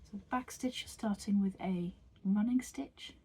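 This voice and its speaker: A woman who is croaky after a virus, teaching an embroidery craft tutorial in a chatty, meandering way with many fillers and restarts. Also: medium pitch, measured pace, dark tone. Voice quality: husky.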